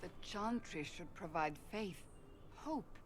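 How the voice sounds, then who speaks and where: Resolute, earnest warrior woman for a fantasy game; low, formal, measured delivery. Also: lively intonation, slight background noise. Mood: solemn conviction. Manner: formal, measured, declarative speech. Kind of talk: dramatic video game dialogue.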